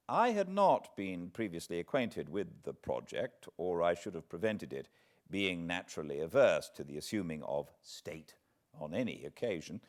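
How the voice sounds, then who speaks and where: A man with a low voice and a British accent narrates a classic memoir as an audiobook. His delivery is measured and expressive, shaped like storytelling. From Britain. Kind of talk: literary audiobook reading of a historical autobiography.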